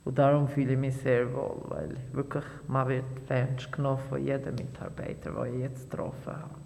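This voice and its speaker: A woman in her forties speaking earnestly and haltingly in an interview. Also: extremely low pitch, level tone, creaky, dark tone, big-room sound, a little hiss or hum. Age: 40s. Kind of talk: casual interview answers.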